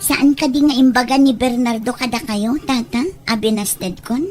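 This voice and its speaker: A woman's voice: fairly high pitch, steady tone, moderate pace, darkish tone, lightly acted. A woman with a Filipino accent playing a sociable, easygoing young village woman in an audio drama, agreeable and conversational. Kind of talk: Ilocano audio drama acting. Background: background music.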